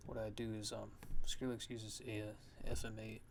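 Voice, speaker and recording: Low, monotone man with background noise. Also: moderate pace, vocal fry. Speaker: music production tutorial host. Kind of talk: casual software tutorial, thinking aloud.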